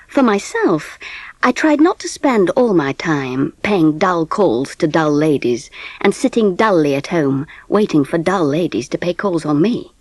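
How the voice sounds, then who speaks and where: A woman playing a gentle middle-class mother who narrates her family's story in a dramatised audiobook. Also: medium pitch, slightly rough, no room sound.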